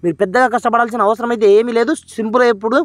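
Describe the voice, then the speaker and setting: A man presents a step-by-step tech tutorial in a very high-pitched voice. He talks very quickly and instructs his viewers directly, in a close, dry sound. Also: fairly even intonation, no echo. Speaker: YouTube tech channel host. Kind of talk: tech tutorial to camera and screen demo.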